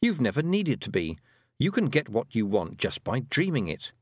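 A man voicing a stubborn, quick-witted nine-year-old girl in a storybook, plain-spoken and defiant. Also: mid-range voice, wildly swooping pitch, darkish tone, breathy edge, no room sound, clean studio sound. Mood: quietly defiant.

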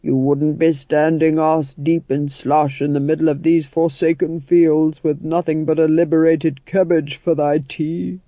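Man voicing a jovial booming actor-manager, theatrical, slow, muffled audio. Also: flat delivery, no room sound. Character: hearty booming actor-manager, cheerful and commanding. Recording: dull band-limited audio.

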